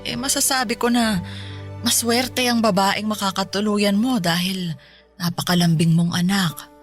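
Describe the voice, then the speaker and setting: A woman playing an affectionate, ailing elderly mother in a radio drama, speaking in a colloquial, motherly, gently nagging way. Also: mid-range voice, steady tone, normal speed, faint music behind, natural voice. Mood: fond, teasing. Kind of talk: Tagalog radio drama acting.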